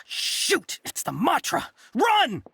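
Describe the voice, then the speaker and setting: A man's voice: very high voice, huge pitch swings, slow, vocal fry, bright, forceful tone, exaggerated voice. A man voicing a polite, slightly shifty young shop clerk in a video game, courteous and apologetic. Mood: alarmed. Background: game music.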